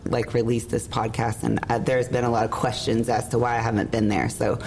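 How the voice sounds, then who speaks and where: A middle-aged woman with a hoarse, worn voice, hosting a casual podcast and rambling openly. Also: a bass voice, little pitch movement, fairly quick, music in the background, poor audio. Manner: rambling, emotional, laughs often. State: hoarse, lost voice. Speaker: podcast host. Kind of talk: casual chat. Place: room at an event hotel. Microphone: shared mic.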